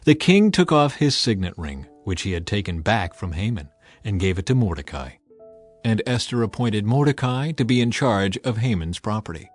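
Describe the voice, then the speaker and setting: A man's voice: lowish voice, big pitch swings, moderate pace, soft background music. A man narrating scripture as an audio drama, with dramatic, emphatic delivery.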